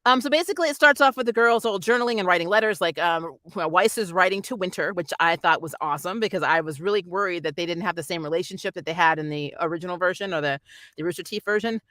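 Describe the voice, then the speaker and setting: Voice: medium pitch, fast talker, sharp tone, no echo, studio quality. A reviewer chatting casually and emphatically, like a fan talking through an episode as host of a channel. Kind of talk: casual spoiler review chat.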